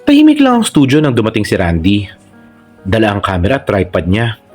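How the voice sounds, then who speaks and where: A man's voice: on the low side, sing-song, brisk, soft background music. A man with a Filipino accent reading a scripted romantic story as narrator. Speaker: narrator and channel host.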